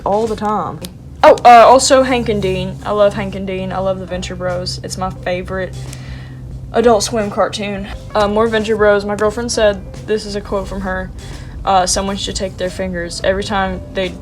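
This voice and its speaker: A young woman in her twenties, sounding congested and sniffly, chatting casually and playfully with lots of slang while showing her sketchbook. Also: medium pitch. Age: young adult. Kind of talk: casual vlog chat.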